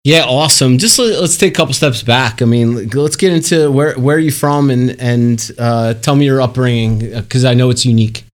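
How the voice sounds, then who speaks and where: A middle-aged American man co-hosting a radio interview in an informal, chatty way with halting starts. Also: mid-range voice. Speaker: radio show co-host. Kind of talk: casual interview chat.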